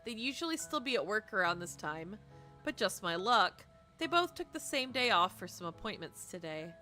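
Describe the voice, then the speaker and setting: A young woman streamer reading a visual novel aloud expressively, with dramatic, casual, animated asides. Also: mid-range voice, moderate pace, faint music behind.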